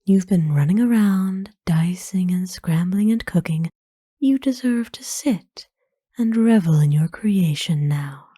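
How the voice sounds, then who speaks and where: A woman playing a kind, courtly, centuries-old vampire in an ASMR roleplay, speaking tenderly and formally in a breathy whisper. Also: fairly low pitch, lively intonation, dark tone, no echo, clean studio sound. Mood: warm, gentle. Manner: formal, slow, tender, hesitant pauses. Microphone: close dry microphone.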